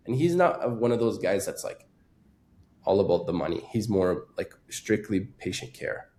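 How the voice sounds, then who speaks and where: Earnest young man, lowish mellow voice. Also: animated, moderate pace, a little hiss or hum. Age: 20s. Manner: earnest, hesitant, many "like" fillers. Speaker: dental student guest. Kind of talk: casual podcast conversation.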